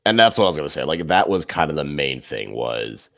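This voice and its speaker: Very deep-voiced man talking football. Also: very animated, a little fast, a bit bright, no echo, clean studio sound. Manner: loose, rambling with fillers and restarts. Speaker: podcast co-host. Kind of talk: casual sports chat.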